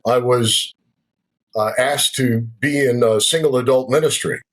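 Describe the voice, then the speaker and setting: A man in his sixties with a somewhat husky voice recounts his life story as a guest. He speaks reflectively and unhurriedly, a former radio broadcaster settling into his memories. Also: mid-range voice, unhurried, a bit bright. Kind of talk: personal testimony in conversation.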